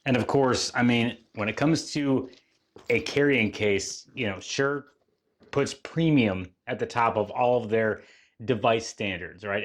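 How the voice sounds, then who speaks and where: A man narrating a headphone unboxing and demo in an upbeat, promotional manner. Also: on the low side. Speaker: product reviewer.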